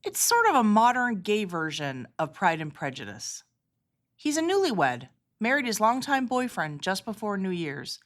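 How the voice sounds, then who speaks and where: A woman hosting a podcast with measured, articulate scripted narration and interview questions. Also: medium pitch, sing-song. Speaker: podcast host, healthcare executive.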